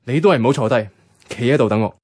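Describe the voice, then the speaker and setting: A man's voice: medium pitch, racing, a little mellow, lightly acted. An actor playing a fussy, practical young man with a Hong Kong Cantonese accent, speaking matter-of-factly in a radio drama. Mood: wary, nagging.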